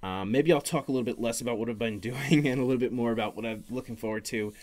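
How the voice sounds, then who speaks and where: A man hosting a solo podcast, talking casually in a rambling, halting way and often correcting himself. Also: medium pitch, darkish tone. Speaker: podcast host.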